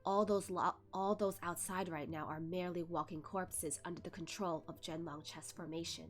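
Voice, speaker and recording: A woman voicing a calm, cold male master who speaks low and measured. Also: soft background music, natural voice.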